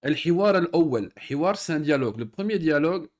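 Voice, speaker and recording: A man teaching an Arabic lesson in French. He reads each Arabic phrase and then explains it patiently, with pauses and fillers. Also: fairly high pitch, expressive. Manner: patient, repeats words slowly with pauses and fillers. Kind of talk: recorded online lesson.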